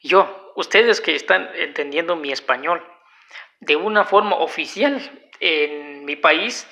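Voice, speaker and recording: A man, not a native speaker, giving a halting, unscripted talk at home that keeps circling back to restate its points. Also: a little high, creaky, bright, forceful tone. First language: a language other than Spanish. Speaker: video lecturer. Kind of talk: unscripted explanatory monologue. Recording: dull lo-fi home recording.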